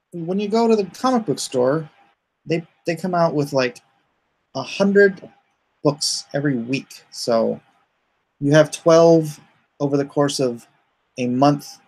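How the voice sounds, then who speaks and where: Male livestream host, husky voice, creaky edge, rambling casual chat, joking. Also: on the high side, slow pace, long pauses, rough recording. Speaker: comic artist hosting a livestream. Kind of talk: casual livestream chat, reading viewer comments aloud.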